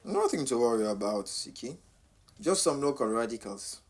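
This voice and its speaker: An actor playing a calm, money-minded boxing manager who talks smoothly and reassuringly in an audio drama. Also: male voice, medium pitch, normal speed. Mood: breezy reassurance. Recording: clear background noise.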